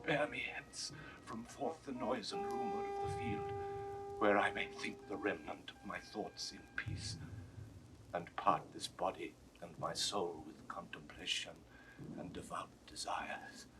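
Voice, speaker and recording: An actor playing a mortally wounded French count, remorseful and earnest, declaiming in breathy classical Shakespearean style. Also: male voice, lowish voice, big pitch swings, unhurried. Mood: dying, solemn confession. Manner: declamatory, breathy dying delivery. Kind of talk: 1960s classical Shakespeare audio drama acting. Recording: noisy vintage 1960s studio recording.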